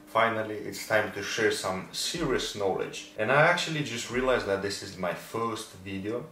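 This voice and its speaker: A man's voice: on the low side, animated, moderate pace, pushed and bright, echoey room. Man in his twenties, Moldovan accent, Romanian-Russian native, emphatic casual fitness talk, slightly distant mic, faint background noise. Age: 20s. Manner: emphatic, repeats words for stress. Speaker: fitness content creator and athlete. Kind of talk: casual explanatory talk to camera. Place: indoor room, decorated for Christmas. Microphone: small lapel microphone.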